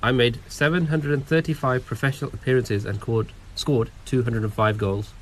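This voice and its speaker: A man's voice: mid-range voice, steady tone, moderate pace, a little hiss or hum, rough recording. A young man co-hosting a casual football podcast, patiently reading out emails and quiz clues with mild exasperation. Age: young adult. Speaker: podcast co-host. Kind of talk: casual chat.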